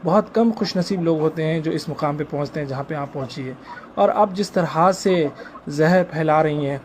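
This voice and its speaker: A man's voice: on the high side, normal speed, a little mellow. A man from India, arguing over the phone in a pleading, reproachful and insistent way. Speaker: caller. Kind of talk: confrontational phone conversation. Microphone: phone call. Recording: noisy recorded phone call.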